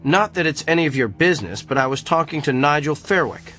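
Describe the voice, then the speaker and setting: Arrogant, self-important doctor. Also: male voice, on the high side, lively intonation, brisk, a bit bright, faint music behind. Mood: irritated, curt. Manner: pompous, defensive. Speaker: actor in a radio drama. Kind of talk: radio drama acting.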